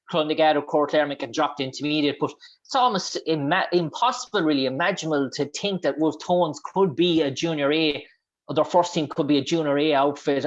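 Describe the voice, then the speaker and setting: A man with an Irish accent presenting a radio sports show, asking long questions full of restarts and fillers. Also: fairly high pitch. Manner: halting, many restarts and fillers. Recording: dull lo-fi sound.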